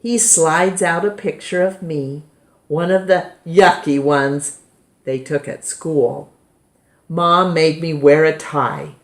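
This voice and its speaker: A woman reading a children's picture book aloud in a measured, gently expressive way. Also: low-pitched. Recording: roomy, echoing indoor sound.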